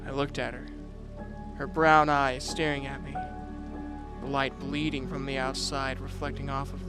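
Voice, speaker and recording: Audio drama lead: dutiful young prince and heir played by a man, reserved and measured, narrating his own tale over music. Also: mid-range voice, lightly acted. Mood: quietly resolute. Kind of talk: first-person audio drama narration with dialogue. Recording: music and rain behind the voice. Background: music and rain.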